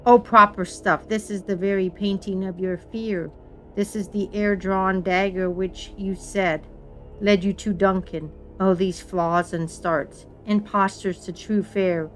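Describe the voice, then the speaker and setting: A woman playing a commanding, scornful queen in a Shakespearean dramatic reading, in deliberate, declamatory verse. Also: lowish voice, fairly bright tone, rough recording. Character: commanding, scornful queen covering for her husband. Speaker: amateur actor in a library's dramatic reading.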